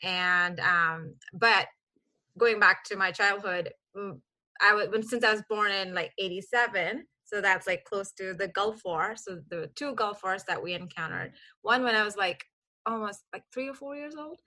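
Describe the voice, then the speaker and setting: A bright-toned woman in her thirties from Kuwait shares her story as a podcast guest. She talks in a lively, rambling way, often laughing and restarting her sentences, at a middle pitch. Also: moderate pace. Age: 30s. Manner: animated, laughs often, many restarts and fillers. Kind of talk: casual storytelling.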